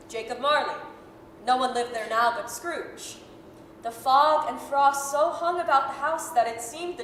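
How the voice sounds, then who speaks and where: A woman narrating a radio drama as a measured, articulate, dramatic storyteller. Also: high voice, a bit bright. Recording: echoey hall, faint noise.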